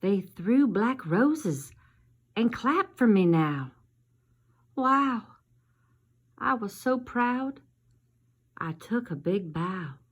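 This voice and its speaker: Woman as slow-speaking little zombie ballerina girl. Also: medium pitch, sing-song, husky, full character voice. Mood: proud, content. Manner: theatrical, drawn-out storytelling. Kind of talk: children's storybook reading.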